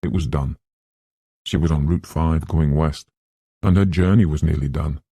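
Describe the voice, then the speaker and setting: Very deep, gravelly male narrator. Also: steady tone, normal speed, mellow, dry recording. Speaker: audiobook narrator. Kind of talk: scripted literary narration.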